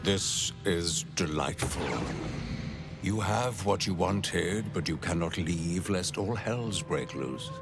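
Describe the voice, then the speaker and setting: Gloating villain, extremely slow drawl. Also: male voice, deep voice. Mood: mocking amusement. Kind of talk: video game character acting. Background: background music.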